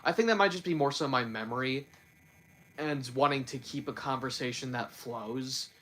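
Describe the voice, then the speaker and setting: A man chatting loosely to his livestream in a bright, forward voice, his pitch sitting right in the middle. He trips over his words and starts sentences again and again, repeating himself as he goes. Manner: stumbles and repeats words, quick restarts. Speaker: livestream host. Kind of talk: casual livestream chat.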